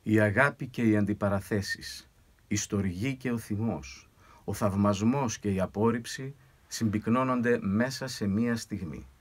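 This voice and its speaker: A man in his fifties reading a scripted manifesto into a studio microphone. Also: fairly low pitch. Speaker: theatre director. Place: recording studio. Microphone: studio microphone with pop filter.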